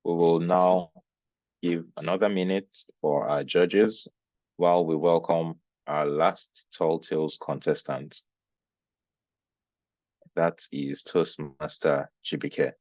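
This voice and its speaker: Male MC, low bass register, measured and formal, dry muffled call audio. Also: fairly even intonation, darkish tone, no echo. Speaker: contest chair. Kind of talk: formal event hosting. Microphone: video call.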